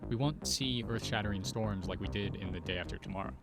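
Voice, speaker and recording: Soft-spoken man reading an explainer. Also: on the low side, fast, vocal fry, over loud music. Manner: soft-spoken, even delivery. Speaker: video narrator. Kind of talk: scripted explainer narration.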